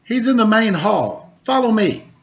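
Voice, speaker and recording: A man's voice: high voice, very animated, unhurried, voice pushed up, exaggerated voice. A man voicing a complaining, exclaiming feudal Japanese lord in a storybook tale. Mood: urgent, demanding. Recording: dull lo-fi recording.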